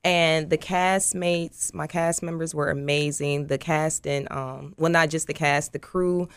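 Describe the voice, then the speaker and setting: Female interview guest, notably low and smooth, animated colloquial delivery, dry sound. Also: measured pace, no room sound. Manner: animated, colloquial, acts out quotes. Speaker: guest, actress. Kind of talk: casual interview chat.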